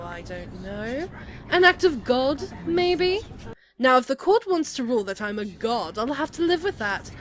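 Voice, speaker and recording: A woman's voice: fairly high pitch, natural voice. A woman playing a haughty, flippant, volatile god with an arch, theatrical manner in an amateur comic dub. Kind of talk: amateur fandub voice acting.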